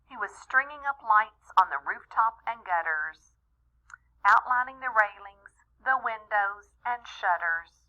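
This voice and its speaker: A woman reading a rhyming children's story aloud in an expressive, deliberate way, as a storyteller talking to young listeners. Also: medium pitch, lo-fi sound. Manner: animated, deliberate, with small asides to young listeners.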